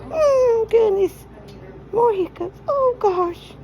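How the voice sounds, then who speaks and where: A woman's voice: very high-pitched, lively intonation, slow pace, vocal fry, highs cut off, noisy background. A woman cooing to a baby in doting, repetitive, sing-song soothing baby talk.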